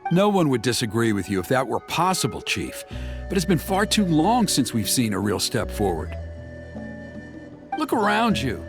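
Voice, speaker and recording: Worried village elder, gravelly and animated, game dialogue over music. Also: male voice, mid-range voice, moderate pace. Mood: frustrated. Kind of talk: video game voice acting. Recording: game audio with loud background music.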